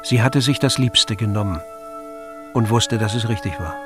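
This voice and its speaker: A man's voice: on the low side, normal speed. Male storyteller over prominent music. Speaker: audiobook narrator. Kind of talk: scripted literary narration.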